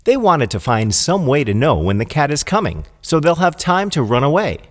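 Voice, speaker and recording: Very animated male storyteller, long reverb. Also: fairly high pitch, moderate pace. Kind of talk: scripted children's fable narration.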